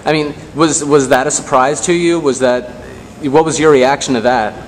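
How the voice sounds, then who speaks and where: Male interviewer, medium pitch, wordy and halting, long room reverb. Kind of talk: unscripted interview questioning. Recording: reverberant hall, faint noise.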